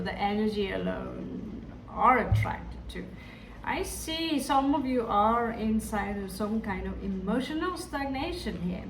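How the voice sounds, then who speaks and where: Slow echoey tarot-reading woman. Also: mid-range voice, creaky. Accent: Hindi-influenced. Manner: musing, hesitant, talks to the viewer directly. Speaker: tarot reader. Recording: echoey room, distant mic, background noise.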